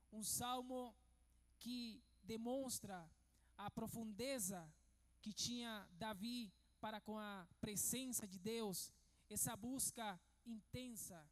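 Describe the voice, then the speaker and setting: Man, pastor, sermon; slow, measured delivery that builds to emphasis. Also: extremely high pitch, a bit bright. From Venezuela. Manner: measured, turning emphatic and preacherly.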